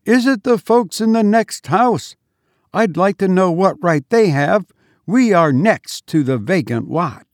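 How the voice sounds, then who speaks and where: A man voicing a peremptory, indignant mistress of the house in a storybook reading, with a sharp, impatient, demanding manner. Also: a little high, sing-song, slow, no room sound, studio quality, pitched above the performer's own voice, exaggerated voice.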